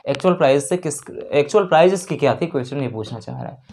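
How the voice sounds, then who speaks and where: A man's voice: on the high side, lively intonation, quick pace, darkish tone, slight background noise. A man teaching maths step by step, asking questions and answering them himself, repeating key steps and mixing in English terms. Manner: mixes English terms into speech. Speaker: maths teacher for exam preparation. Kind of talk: spoken maths lesson, working through questions.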